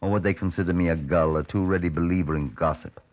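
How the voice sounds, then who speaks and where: A man's voice: sits low, fairly even intonation, fast talker, lightly acted. An actor playing an inquisitive, matter-of-fact police superintendent who recounts his case in an old radio drama. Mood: reflective narration. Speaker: narrator and lead actor. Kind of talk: 1950s radio drama acting, narrating. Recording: dull old 1950s radio recording.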